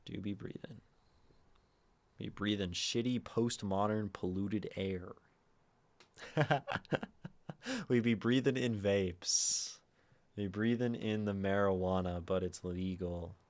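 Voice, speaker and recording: A man with a naturally low voice, hosting a livestream and musing softly and aimlessly with many fillers. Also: steady tone, takes it slowly, pauses often. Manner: soft, meandering, lots of fillers; prefers talking quietly and a little higher. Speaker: livestream host. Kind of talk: casual livestream chat.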